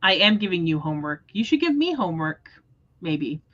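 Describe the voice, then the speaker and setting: A woman hosting a casual book discussion livestream, talking in an animated, expressive way. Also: fairly low pitch, a little fast, lo-fi sound. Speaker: host of book readalong livestream.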